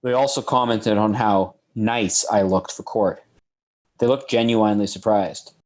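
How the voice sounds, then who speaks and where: Male reader, lowish voice, sober measured testimony narration. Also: fairly even intonation, darkish tone.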